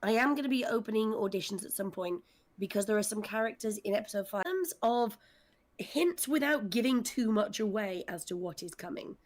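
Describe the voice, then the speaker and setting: A woman's voice: mid-range voice, expressive, fairly quick. A woman speaking casually in a panel discussion as a writer and director, with a wry, self-deprecating manner. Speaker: audio drama scriptwriter and director.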